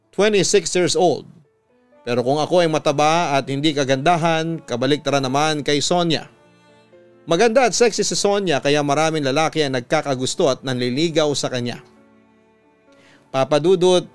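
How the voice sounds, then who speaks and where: A Filipino man, a radio announcer, reading a listener's letter dramatically with drawn-out emphasis on key phrases over background music. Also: a little high, smooth. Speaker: radio announcer and story reader. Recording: music bed behind the voice.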